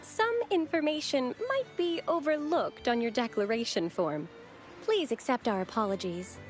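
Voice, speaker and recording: Woman playing a very high-voiced, gentle young devotee. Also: lively intonation, normal speed, close to an everyday voice. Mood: polite, reverent. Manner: courteous, formal, explanatory. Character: gentle, devout, polite young woman of a holy Order. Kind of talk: video game voice acting. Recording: game dialogue over clear background music.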